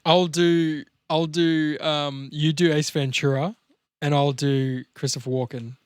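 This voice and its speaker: Higher-pitched man riffing on a podcast. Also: measured pace, clean tone, no room sound, clean studio sound. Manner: animated riffing with swearing and bits of mimicry. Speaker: podcast co-host and actor. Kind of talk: casual podcast banter.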